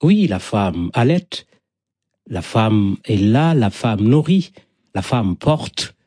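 A man's voice: on the low side, sing-song, takes it slowly, mellow, breathy edge, no room sound, studio quality. A middle-aged man from Senegal with a Senegalese accent, a native Wolof speaker, talking reflectively as a storyteller being interviewed, in poetic, repetitive phrases.

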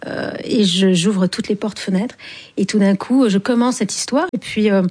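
A woman in her fifties from Algeria speaks as an interview guest, her voice warm and relaxed rather than bright. She talks in a reflective, unhurried way, stopping often on soft hesitations as she gathers her thoughts. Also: medium pitch, husky. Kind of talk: reflective personal storytelling in an interview.

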